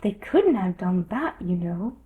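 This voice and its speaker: A woman's voice: on the low side, big pitch swings, a little slow, narrow, tinny audio. A woman voicing a polite, earnest, curious young girl who is easily offended, in a storybook reading. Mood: curious, puzzled. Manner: earnest, questioning.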